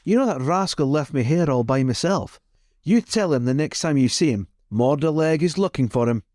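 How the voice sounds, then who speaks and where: A man voicing a gruff, folksy old man from the west in a tabletop game. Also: on the high side, animated, moderate pace, darkish tone, no echo, natural voice. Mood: grumbling.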